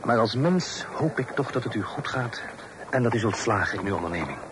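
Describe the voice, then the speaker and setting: An actor playing a courteous, well-bred young gentleman in a radio drama, polite, formal and genial. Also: fairly low pitch, lively intonation, a little fast, heavy background noise, lightly acted. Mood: formal, kindly. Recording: radio play with loud background bustle.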